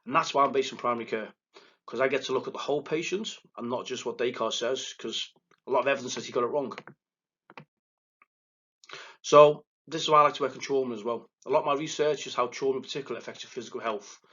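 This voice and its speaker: A man with a thick Liverpool accent giving a recorded lecture as a psychotherapist, excitable and quick, often stumbling and restarting his words. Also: medium pitch, breathy edge, muffled recording. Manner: fast, excitable delivery with verbal stumbles and restarts. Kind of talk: recorded lecture to counselling students. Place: presenting alone without a live audience.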